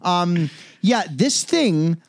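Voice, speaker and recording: A man's voice: high voice, lively intonation, very slow. A man co-hosting a podcast, chatting casually about music in a jokey, rambling way and often correcting himself. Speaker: podcast co-host.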